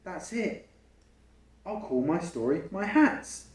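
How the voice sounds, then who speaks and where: An eager young classmate from a picture book, voiced by a man. His expressive delivery has very varied pitch, with echo from the room. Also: slow pace, exaggerated voice. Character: eager schoolboy classmate fond of hats. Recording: roomy, echoing home recording.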